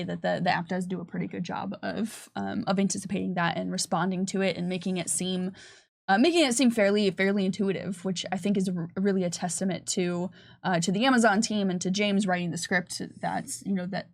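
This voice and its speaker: A woman answering questions conversationally on a livestream, explaining at length with frequent hesitations and restarts. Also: medium pitch. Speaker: game developer presenting on a livestream. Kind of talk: unscripted livestream Q&A.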